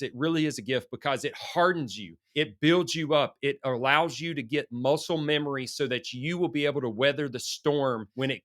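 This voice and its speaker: A middle-aged man, hoarse from a sinus infection, hosting a motivational business podcast in an earnest coaching manner. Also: mid-range voice, a bit bright, no echo, studio quality. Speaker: podcast host.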